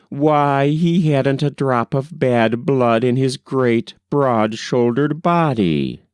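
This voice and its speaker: Slow, low-voiced male audiobook narrator. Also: a little mellow, smooth, no echo, studio quality. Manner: measured, unhurried storytelling reading. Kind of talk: scripted narration of a classic novel.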